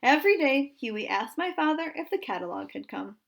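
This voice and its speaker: Woman, high-pitched voice, children's storybook narration, read aloud. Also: sing-song, fairly quick, creaky. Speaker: storybook reader.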